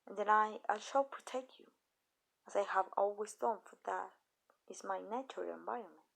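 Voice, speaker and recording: A woman playing a possessive yet tender female vampire mistress in an intimate ASMR roleplay, speaking softly and deliberately with hesitant pauses. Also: medium pitch, gravelly. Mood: proud, protective.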